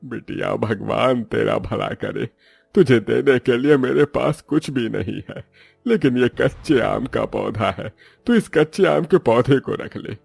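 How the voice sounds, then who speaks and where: A man voicing a poor, kindly old plant seller in a cartoon moral story, with a gravelly, husky, elderly voice. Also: medium pitch, lively intonation, measured pace, a little mellow, dry recording, soft background music, exaggerated voice. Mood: grateful, kindly.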